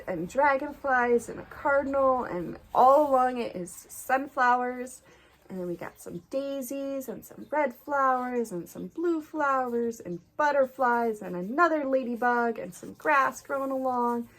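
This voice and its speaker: A young woman hosting a casual craft vlog, her nose a little stuffed up from allergies. Her voice sits a bit high, and she talks in a chatty, rambling way with frequent ums and little laughs. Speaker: cross-stitch designer hosting a flosstube. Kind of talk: casual show-and-tell chat to camera.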